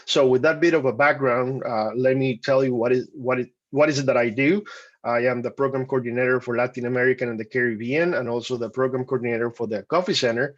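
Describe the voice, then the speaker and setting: A man's voice: mid-range voice, fairly even intonation, quick pace. Man presenting a webinar, lo-fi sound. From Costa Rica. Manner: conversational with frequent fillers and restarts. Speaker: webinar presenter, program coordinator.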